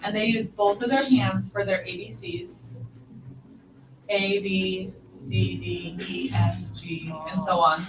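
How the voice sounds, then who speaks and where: A woman's voice: medium pitch, steady tone, slow pace, music in the background. A middle-aged deaf woman teaching a sign language class, patiently explaining step by step. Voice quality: deaf speaker's voice. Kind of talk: teaching a class, answering questions. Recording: echoey hall from far off, noisy.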